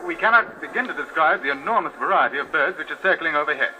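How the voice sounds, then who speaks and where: An actor playing an eager, polite street reporter coaxing an interview in a 1950s radio drama. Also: male voice, on the high side, animated, fast talker, bright, forceful tone, rough recording. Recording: old radio recording.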